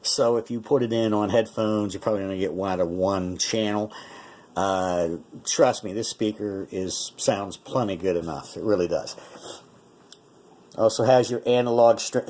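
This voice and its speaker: A man's voice: on the low side, a little mellow. Man casually demonstrating gear. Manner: conversational, explanatory, self-correcting. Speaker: radio reviewer.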